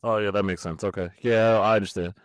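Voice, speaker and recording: A man's voice: fairly low pitch, fairly even intonation, fast talker, clean tone, no echo, studio quality. A man co-hosting a podcast with casual, teasing banter. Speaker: podcast co-host.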